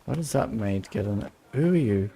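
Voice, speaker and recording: A man's voice: fairly low pitch, lively intonation, brisk, gravelly, warm tone, slight background noise. A man giving casual playthrough commentary as a game streamer, thinking aloud as he goes.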